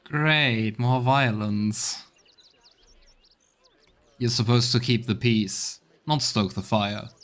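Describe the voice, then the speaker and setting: A man's voice: mid-range voice, fairly even intonation, slow pace, long pauses, a bit bright. A man giving casual let's play commentary, thinking aloud as he goes. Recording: faint game music behind.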